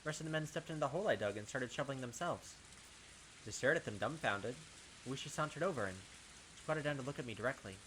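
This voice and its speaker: A man with a bright, forceful voice of medium pitch narrates a visual novel. His delivery is dramatic and expressive, like a storyteller, with pauses for effect. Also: a little hiss or hum, poor audio. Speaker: gaming video host.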